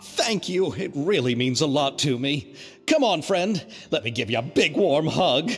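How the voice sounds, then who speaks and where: An actor playing a polite, flustered film producer in a video game, stammering and effusive. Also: male voice, on the high side, very animated, unhurried, soft background music. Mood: earnest, polite. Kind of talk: video game character acting.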